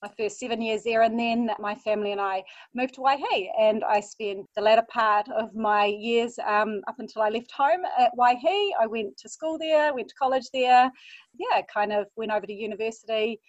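A woman's voice: on the high side, normal speed, dry recording. A woman in her thirties with a New Zealand accent, a mindfulness coach chatting as a guest on a podcast. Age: 30s. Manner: warm, chatty storytelling with fillers. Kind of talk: podcast interview. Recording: dull, lo-fi sound.

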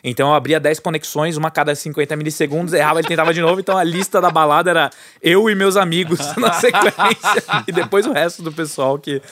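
A Brazilian man in his thirties, a guest entrepreneur telling his story in a relaxed, chatty way, with lots of fillers and restarts. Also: a little high, quick pace, few pauses. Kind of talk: casual storytelling interview.

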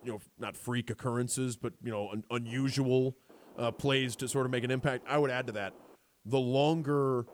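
Male radio host, mid-pitched, loose conversational talk with frequent restarts. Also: a little hiss or hum.

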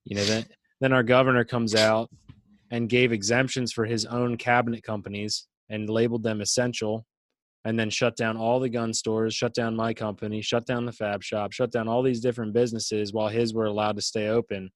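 A man's voice: fairly low pitch, little pitch movement, a little fast, no room sound. A man from Pennsylvania in casual conversation, blunt and opinionated. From Pennsylvania, USA.

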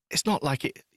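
A man's voice: bright, forceful tone, no room sound, clean studio sound. A man co-hosting a podcast in casual chat, joking and teasing drily. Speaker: podcast co-host.

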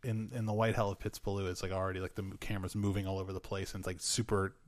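A man co-hosting a casual film chat, rambling excitedly with many fillers and laughs. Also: low-pitched, racing, no room sound. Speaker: podcast co-host.

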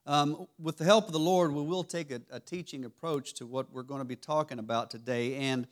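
A man with a raspy voice giving a church teaching sermon, halting and restarting often. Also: fairly high pitch, fairly even intonation. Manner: halting, many restarts and fillers. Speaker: lay preacher. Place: church sanctuary.

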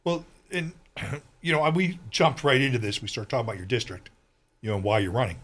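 Guy hosting political talk radio, keeps clearing his throat, argues his point, says okay? and right? a lot. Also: mid-range voice, sing-song, brisk, a bit bright. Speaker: radio talk show host.

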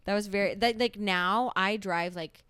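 A woman in her twenties co-hosting a podcast, telling stories in a casual, profane and animated way. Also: medium pitch, normal speed, smooth, dry recording. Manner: profane, animated storyteller who acts out quotes. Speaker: podcast co-host. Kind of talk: casual chat, storytelling.

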